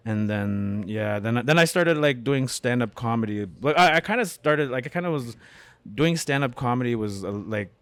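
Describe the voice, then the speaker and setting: A man's voice: mid-range voice, expressive, moderate pace, clean tone, dry recording. A middle-aged man from Canada, a comedian and beatmaker, chatting as a guest in a cafe with many fillers and restarts. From Canada, born in Winnipeg. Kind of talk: casual interview conversation.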